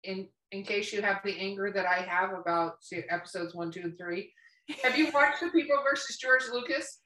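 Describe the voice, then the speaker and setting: A woman's voice: mid-range voice, normal speed, pushed and bright, roomy sound, recorded from a distance, low-quality recording. A woman chatting casually on a podcast in a blunt, teasing, profanity-heavy manner. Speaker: podcast co-host.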